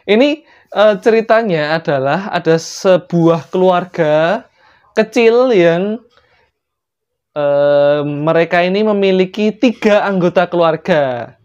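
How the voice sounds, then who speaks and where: Young storyteller, Javanese-Indonesian accent, medium pitch, casual and jokey, chatty asides, lo-fi muffled sound. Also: slow pace, darkish tone, smooth. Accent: Javanese-influenced Indonesian. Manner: playful, joking, chatty asides. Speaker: storyteller, channel host.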